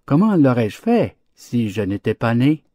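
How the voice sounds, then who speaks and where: A meek young lamb from a storybook fable, played by a man, pleading innocently and politely. The speech is slow, with a melody that rises and falls widely. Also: medium pitch, big pitch swings, mellow, dry recording, studio quality.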